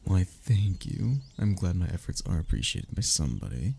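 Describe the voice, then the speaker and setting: A man's voice: low voice, big pitch swings, moderate pace, gravelly, warm tone, a little hiss or hum, full character voice. A man playing a sentimental, teasing young man in an ASMR roleplay, talking intimately and conversationally to a childhood best friend. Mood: playful teasing. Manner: intimate, conversational, with sighs and chuckles. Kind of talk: ASMR roleplay audio drama.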